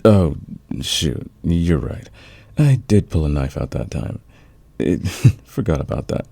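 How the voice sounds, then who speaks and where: A man playing a smug, flirtatious fantasy villain in a romantic ASMR roleplay, teasing and intimate with a husky, gravelly voice. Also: extremely low pitch, very animated, warm tone, no room sound, full character voice. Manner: teasing, intimate, drawn-out confiding delivery. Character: flirtatious, smug male fantasy villain, secretly smitten rival.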